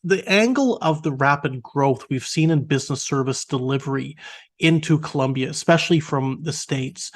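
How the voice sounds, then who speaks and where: French-native male interviewer, fairly high voice. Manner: polite, long framed questions. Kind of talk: business interview.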